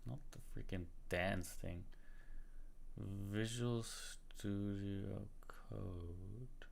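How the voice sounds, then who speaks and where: A low-pitched man with an American-sounding accent, though he is from Australia, talks in a slow, gravelly drawl. He mutters to himself while searching on his computer and greets chat viewers by name. Keyboard typing and mouse clicks sound behind him. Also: steady tone, a little hiss or hum. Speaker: livestream host. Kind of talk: casual livestream chat while coding.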